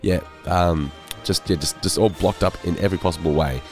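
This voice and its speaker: A man co-hosting a casual podcast, his voice congested and hoarse from a head cold, talking in a weary, self-deprecating way. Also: deep voice, brisk, a little mellow, faint music behind. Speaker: podcast co-host.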